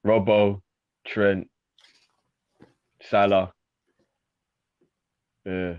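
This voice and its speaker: Male co-host, lowish voice, slangy and laughing, dry dull lo-fi mic. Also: level tone, drawn-out words, clear, resonant tone, dry recording. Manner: slangy, laughs often, says bro a lot. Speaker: fan channel co-host. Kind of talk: casual live call-in chat.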